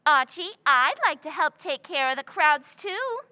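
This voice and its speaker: A woman playing a brash, self-assured tavern owner's daughter in an old-time radio comedy recreation, with a shrill voice. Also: lively intonation, unhurried, bright, forceful tone, in a large hall, lo-fi sound, full character voice. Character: Miss Duffy, brash plain tavern owner's daughter, middle-aged woman.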